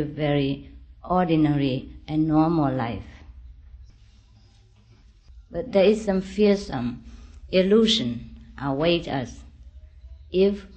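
Slow-speaking woman giving a spiritual discourse. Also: low voice. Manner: deliberate, halting phrasing with gentle reassurance. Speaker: spiritual teacher.

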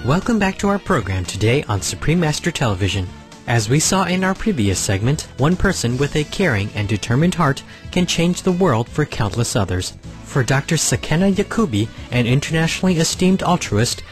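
A man reading scripted documentary narration in a calm, measured way as the program's voice-over. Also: on the high side. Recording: faint music underneath.

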